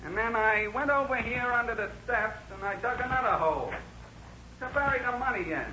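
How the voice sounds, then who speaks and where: High, piercing young jailbird. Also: male voice, animated, brisk, sharp tone, full character voice. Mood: eager, explaining. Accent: American. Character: sullen, gullible young male jailed criminal. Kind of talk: 1930s radio acting. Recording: muffled, noisy 1930s radio broadcast.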